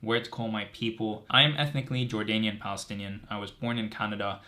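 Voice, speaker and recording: Low-pitched, earnest young man. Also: fairly even intonation, fast talker, sharp tone. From Greece. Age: 20s. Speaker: medical student vlogger. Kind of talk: casual direct-to-camera chat, answering assumptions.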